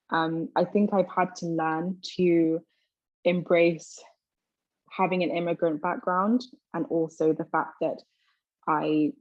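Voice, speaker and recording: A young woman with a London accent, a native Twi speaker, speaks in a reflective, motivational way. Her lowish voice has a lot of fry and stays quite even, on a roomy call recording. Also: dull audio. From London, England. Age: 20s. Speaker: guest speaker. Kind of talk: personal motivational talk and reflective answers.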